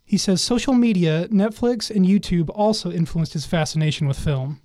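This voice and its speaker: A man reading a scripted news feature as the reporter, in a measured way. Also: high voice, dark tone, dry recording, studio quality.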